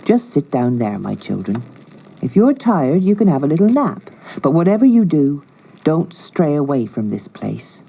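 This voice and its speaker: A woman's voice: deep voice, very animated, normal speed, gravelly, mellow, slightly rough, heavily acted, rough recording. Woman as a firm storybook parent. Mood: instructing.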